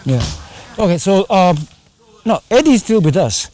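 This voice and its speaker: A man's voice: high-pitched, sing-song, normal speed, darkish tone. A man chatting casually in an interview, rambling and slipping into Swahili slang. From Kenya. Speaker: band singer and drummer.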